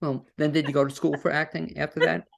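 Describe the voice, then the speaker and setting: A man's voice: fairly high pitch, flat delivery, quick pace, creaky. A man hosting an interview podcast from his home studio over a video call, talking in a folksy, rambling way. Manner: folksy, rambling with fillers and restarts. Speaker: podcast host.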